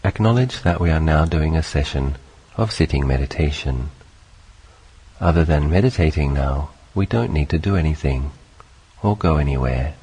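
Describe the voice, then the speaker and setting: A man leading a guided meditation, speaking calmly in short, unhurried phrases with long pauses between them. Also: extremely low pitch, husky, rough recording. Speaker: meditation teacher.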